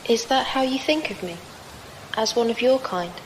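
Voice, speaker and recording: An actress playing a polite, formal and precise humanlike android squire in a science fiction audio drama. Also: female voice, a little high, moderate pace, background noise, lightly acted. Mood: gentle, curious.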